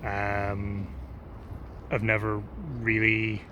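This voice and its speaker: Slow-talking Irish man on a noisy street. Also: lowish voice, completely flat delivery. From Ireland. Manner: rambling, hesitant, many ums. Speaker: vlogger. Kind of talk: casual travel vlog monologue. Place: outdoors on a city street. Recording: outdoor street noise. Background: urban street noise.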